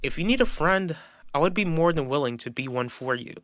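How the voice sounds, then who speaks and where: A man playing an earnest, polite man offering friendship in an animated soap opera. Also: a little high, brisk, no echo, clean studio sound. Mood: sincere.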